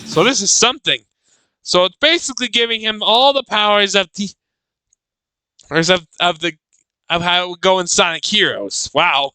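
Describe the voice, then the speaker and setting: A man giving casual reaction commentary as host, thinking aloud in halting, repeated phrases. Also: sits high, pushed and bright, clear, resonant tone, dry recording, soft background music.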